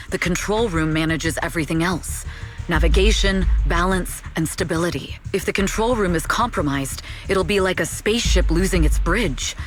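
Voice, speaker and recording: A woman's voice: lowish voice, close to an everyday voice. A serious female bodyguard with a secret past as a spy voiced by an actress, speaking with formal, urgent conviction. She is protective and weighed down by guilt, in dramatic video game style, with some music behind her. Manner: earnest, urgent, formal phrasing.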